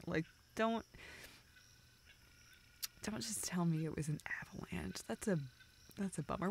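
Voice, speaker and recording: A young woman playing a self-deprecating, vulnerable friend in an intimate audio roleplay, speaking in halting, self-interrupting dialogue. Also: mid-range voice, a little hiss or hum. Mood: animated, exasperated. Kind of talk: intimate, naturalistic one-sided dialogue.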